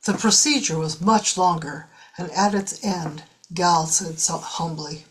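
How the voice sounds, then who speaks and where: A woman's voice: fairly low pitch, a little slow, slightly rough, low-quality recording. A woman reading scripted narration for a radio drama as its narrator.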